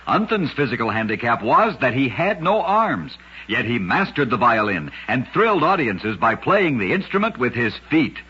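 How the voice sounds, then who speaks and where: A man's voice: mid-range voice, sharp tone, breathy edge. A man narrating short curiosities for radio in a scripted, dramatic style with emphatic storytelling. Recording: dull lo-fi old radio recording.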